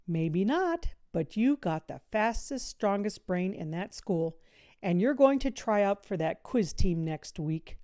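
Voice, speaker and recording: A woman reading a children's story, voicing an energetic, no-nonsense woman coach with an emphatic, driving delivery. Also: medium pitch, unhurried, no room sound, studio quality. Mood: firm, rallying. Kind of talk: children's audiobook character voice.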